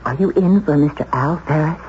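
An actress playing an earnest, long-suffering secretary who has turned bold, in 1970s radio drama style. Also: female voice, deep voice, brisk, a little mellow, lightly acted. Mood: flustered. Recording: noisy, muffled 1970s radio recording.

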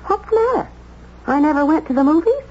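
A woman's voice: sits high, big pitch swings, a little fast, darkish tone. Brassy, swooping streetwise cleaning woman. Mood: urgent, needling. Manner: slangy, blunt, wheedling know-it-all. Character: brassy, streetwise, meddling cleaning woman, woman married to an older man. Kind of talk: 1970s radio drama acting. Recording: dull vintage radio recording, faint noise.

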